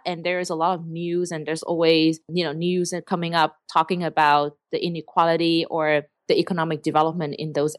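A woman's voice: on the low side, dry recording, studio quality. A young woman from Vietnam with a Vietnamese accent, earnestly explaining her work at length in an interview. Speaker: startup cofounder guest. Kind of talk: interview answers.

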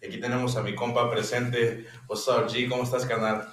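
Laid-back Mexico City host, echoey room. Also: male voice, mid-range voice, recorded from a distance. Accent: Mexico City Spanish. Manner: relaxed slangy banter, drawn-out phrases. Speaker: podcast host. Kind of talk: casual podcast chat.

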